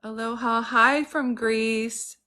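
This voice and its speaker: A middle-aged woman psychic medium hosting a casual live stream, chatting warmly with viewers, greeting them by name and reading out their comments. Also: a little high, fairly even intonation, takes it slowly. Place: home in Santa Cruz.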